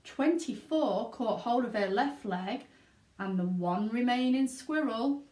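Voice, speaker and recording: A woman narrating a children's storybook aloud in an expressive reading style. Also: a little high, takes it slowly. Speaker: teaching assistant reading aloud. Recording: echoey room.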